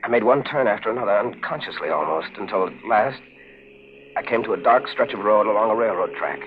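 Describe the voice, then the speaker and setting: Actor as a low-voiced, volatile fugitive in radio thriller. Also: male voice, animated, fairly quick, a little hiss or hum, lightly acted. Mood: grim, brooding. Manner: melodramatic, urgent. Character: frantic amnesiac fugitive husband turned killer, narrating his crimes. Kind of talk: 1940s radio thriller acting and first-person narration. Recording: muffled 1940s radio recording with music.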